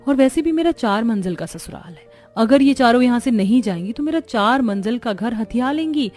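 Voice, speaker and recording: A woman voicing a resentful, sharp-tongued young married sister-in-law in a cartoon story, in a complaining and scolding manner. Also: fairly high pitch, expressive, fairly quick, lightly acted. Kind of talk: Hindi cartoon story dialogue. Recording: music behind the voice.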